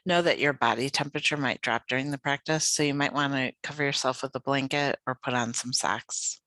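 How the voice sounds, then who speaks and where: A yoga nidra guide leading a guided meditation in a gentle, soothing, unhurried manner. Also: mid-range voice, fairly even intonation, no room sound.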